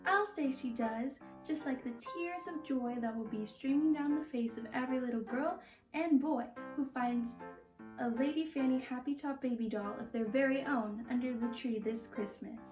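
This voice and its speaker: A chirpy little girl voiced by a woman gushes like a seasoned salesperson in an old radio ad. Her voice is high, with some echo and clear music behind. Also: moderate pace, close to an everyday voice. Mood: gushing. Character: chirpy, gushing little girl pitching toys. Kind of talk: old-time radio advertisement acting. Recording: echoey stage, music behind.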